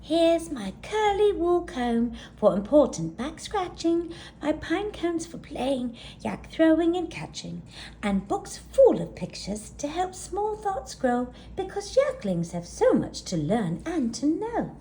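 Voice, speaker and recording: An eager, anxious little yak girl from a storybook, played by a woman reader. The voice sits high and swoops expressively, earnest in every phrase. It has a soft edge rather than a bright one. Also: big pitch swings, slow, a little hiss or hum, heavily acted.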